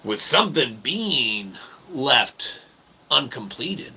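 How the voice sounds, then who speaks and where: A man's voice: mid-range voice, sing-song, slow, vocal fry, sharp tone, husky. A man hosting a show in a rambling, jokey, theatrical way with mock-formal wordy phrasing. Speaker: show host and author. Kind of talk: rambling show sign-off addressed to audience.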